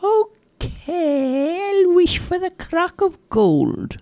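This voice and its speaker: A storyteller acts out a bold little girl from a storybook who traps fairies and bargains hard. Her voice is pitched high and sing-song, with slow, drawn-out, insistent phrases. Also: big pitch swings, warm tone, no room sound, dull audio, exaggerated voice.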